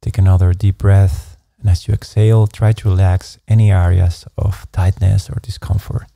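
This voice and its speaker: A man narrating a guided meditation, giving calm, measured instructions in an unhurried way. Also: low voice, vocal fry, no echo, studio quality. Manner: slow, calm, measured instruction with soft pacing.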